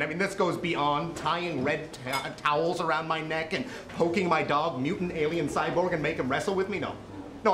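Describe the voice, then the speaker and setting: Emphatic man, higher-pitched, in an echoey room. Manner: emphatic, earnest, repeats words for effect. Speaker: performer of a comic monologue. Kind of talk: confessional comic monologue. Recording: echoey room with clear background noise.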